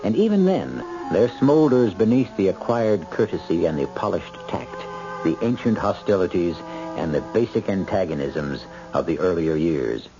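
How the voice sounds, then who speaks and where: A man hosting and narrating an old-time radio drama in a measured, dramatic, literary style. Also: sits low, very animated, music in the background. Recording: muffled old radio recording.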